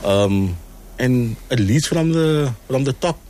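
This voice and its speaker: A man, speaking earnestly in an interview as a choir executive, with many pauses and repeated words. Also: medium pitch, animated, dark tone. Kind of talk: casual interview chat.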